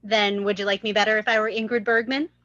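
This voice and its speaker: A woman playing an expressive young sweetheart in a live table read of a film script, on dull lo-fi audio. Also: mid-range voice, quick pace, no echo, lightly acted. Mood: playful.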